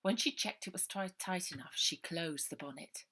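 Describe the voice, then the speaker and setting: A woman author reading her own children's novel aloud as expressive narration. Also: on the low side, moderate pace, slightly rough.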